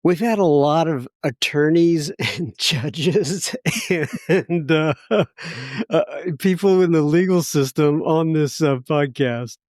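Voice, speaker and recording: A man hosting a recovery podcast, asking relaxed, conversational questions and laughing easily. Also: fairly high pitch, slow pace, dry recording, clean studio sound. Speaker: podcast host. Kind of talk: casual interview questions.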